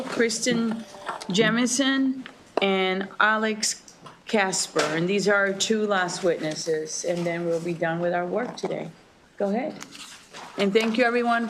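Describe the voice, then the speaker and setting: A woman's voice: mid-range voice, unhurried, background noise. Woman chairing a committee hearing. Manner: polite and procedural.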